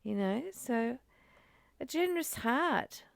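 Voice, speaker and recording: A woman's voice: on the high side, expressive, slow pace, creaky, no room sound. A woman reading aloud and reflecting in casual discussion, in a musing, questioning and conversational manner. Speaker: study group co-host, reader.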